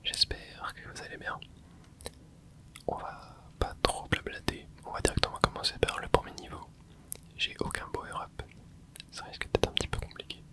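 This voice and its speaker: A young man hosting an ASMR channel, softly whispering a relaxed running commentary as he plays. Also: normal speed. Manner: soft close whisper, relaxed running reactions. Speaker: ASMR gaming channel host.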